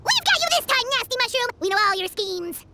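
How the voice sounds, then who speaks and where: A woman's voice: extremely high pitch, big pitch swings, quick pace, slight background noise, exaggerated voice. A woman voicing a tiny, childlike fairy companion in a video game, cheeky, bossy and sassy. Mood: triumphant. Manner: sassy, speaks of self in third person. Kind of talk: video game character acting. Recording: loud game music behind.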